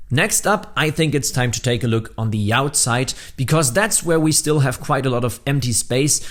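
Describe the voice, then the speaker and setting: A man presenting his hobby build as a video host, explaining it step by step and talking directly to viewers. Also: mid-range voice. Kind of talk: narrated hobby build showcase.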